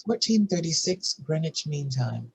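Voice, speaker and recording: Husky narrator reading screenplay directions. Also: medium pitch, big pitch swings, unhurried, poor audio.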